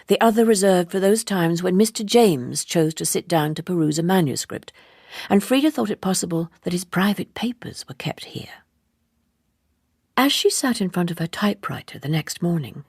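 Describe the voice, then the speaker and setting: A woman narrating a literary audiobook in a measured, expressive manner with deliberate phrasing. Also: on the low side, gravelly, slightly rough, no echo, studio quality.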